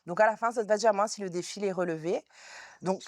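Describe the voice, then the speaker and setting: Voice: medium pitch, fast, a little mellow, dry recording. Interviewer, dry sound. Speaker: interviewer and show host. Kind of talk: casual interview.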